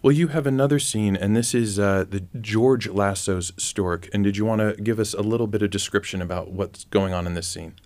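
A man's voice: lowish voice, brisk, hardly any pauses, a little mellow. A man hosting an interview in a conversational style, putting questions to guests. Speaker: radio interview host.

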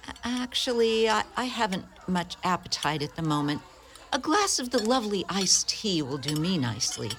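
Woman playing an earnest, lively-voiced nun. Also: mid-range voice, moderate pace. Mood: apologetic. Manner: earnest, apologetic, expressive. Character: devoted nun, woman, eager and apologetic. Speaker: community radio play actor. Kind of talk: community radio play acting.

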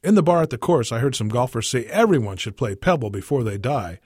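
A man reading storybook dialogue as a jovial 65-year-old businessman, with a husky voice and folksy, emphatic phrasing. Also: medium pitch, expressive, fairly quick, a little mellow, no echo, studio quality. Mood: animated, joking. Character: jovial 65-year-old businessman twin, game but joking.

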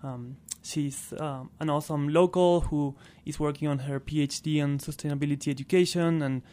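Male radio guest, Argentine Spanish accent, slightly high and even-toned, conversational. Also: moderate pace. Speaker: interview guest, clean transportation programme worker. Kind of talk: casual radio interview.

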